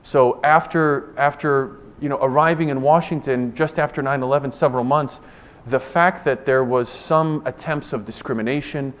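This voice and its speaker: A man in his forties from Ohio gives a formal presentation in a conference room, speaking earnestly and deliberately. His voice sits at an ordinary middle pitch. He often restarts phrases and repeats words as he works through his points. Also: steady tone, fairly bright tone. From Ohio, United States. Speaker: government official giving a presentation.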